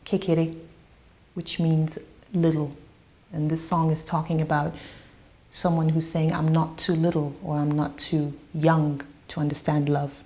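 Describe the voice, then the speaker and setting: A woman whose first language is Yoruba, a singer-songwriter talking thoughtfully in an interview, pausing with fillers and restarts. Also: low-pitched, little pitch movement, dark tone, low-quality recording. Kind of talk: interview talk.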